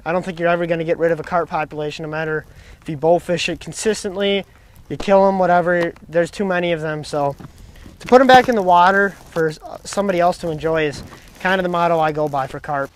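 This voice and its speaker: Young man narrating his fishing outdoors. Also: fairly high pitch. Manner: excited running commentary, talking to himself. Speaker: fishing vlogger. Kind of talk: casual commentary while fishing. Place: outdoors on a lake dock. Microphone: action camera mic, sometimes head-mounted.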